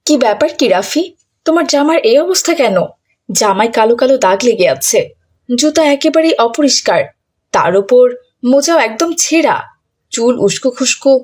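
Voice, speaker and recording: A woman voicing a stern, scolding schoolteacher in a children's moral cartoon, reproving and brisk, with a husky voice. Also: high-pitched, lively intonation.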